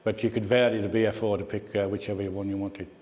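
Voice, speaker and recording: Low-voiced man speaking in an echoey hall. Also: little pitch movement, fast, darkish tone. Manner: conversational, reminiscing, puts questions to the audience. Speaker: guest speaker at a radio club. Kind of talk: informal club talk with slides and anecdotes. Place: indoor club meeting room. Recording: echoey hall, club meeting room.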